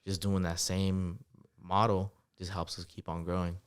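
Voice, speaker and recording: A man in his twenties, a guest entrepreneur, telling stories in a relaxed, casual podcast interview with lots of fillers. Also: low-pitched, a little slow, clear, resonant tone. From United States, Salvadoran family. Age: 20s. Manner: relaxed storytelling with many fillers.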